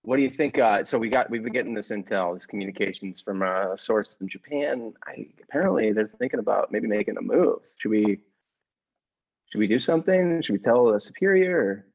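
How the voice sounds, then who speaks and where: A man's voice: fairly low pitch, lively intonation, brisk, vocal fry, slightly rough, muffled recording. A man setting up and playing improvised comedy scenes in a casual style.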